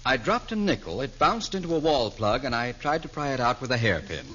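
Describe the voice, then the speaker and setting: A man as a deadpan, formal weatherman. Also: medium pitch, brisk, muffled recording, close to an everyday voice. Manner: formal deadpan long-winded delivery. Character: deadpan absent-minded weatherman neighbor, middle-aged. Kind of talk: 1940s radio comedy acting. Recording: scratchy 1940s radio broadcast.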